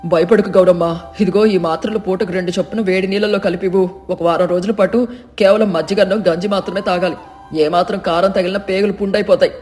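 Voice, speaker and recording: Man playing a high-voiced stern village doctor. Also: steady tone, quick pace, darkish tone, soft background music, close to an everyday voice. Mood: reassuring, instructing. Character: stern village doctor, scolding a cheating food seller. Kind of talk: dramatised moral story narration.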